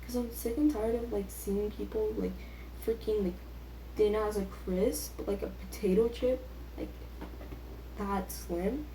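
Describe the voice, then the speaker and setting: A woman's voice: mid-range voice, fairly even intonation, unhurried, dark tone. A teenage girl chatting casually and rambling, with a self-deprecating, youthful way of talking full of filler words. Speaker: vlogger. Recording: echoey room with faint background noise.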